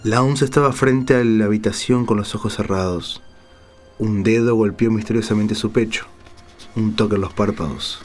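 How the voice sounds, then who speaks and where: A male narrator with a fairly deep voice reads dramatic literary fiction. His delivery is scripted storytelling, and music plays underneath. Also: steady tone, normal speed.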